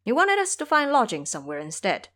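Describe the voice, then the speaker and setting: A scolding monk disciple, played by a woman, speaks briskly and with exasperation. It is a lively audio drama delivery with wide swings in pitch. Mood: exasperated.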